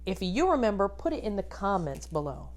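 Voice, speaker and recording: A woman teacher reading a picture book aloud to children expressively, pausing to ask them questions and point things out. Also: medium pitch, slight background noise. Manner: animated, addresses young children with questions.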